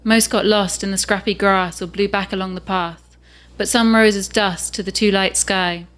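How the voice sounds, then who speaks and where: A woman's voice: medium pitch, fairly even intonation, a bit bright. Woman reading fiction aloud. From England. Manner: measured and unhurried. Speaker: author reading her own work.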